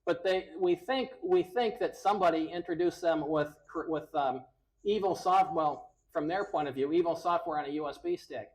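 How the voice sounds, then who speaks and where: A loud middle-aged man giving a casual technical presentation to a user group on a close handheld mic, restarting his sentences often. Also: a little high, normal speed, poor audio. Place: meeting room. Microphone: handheld mic held close.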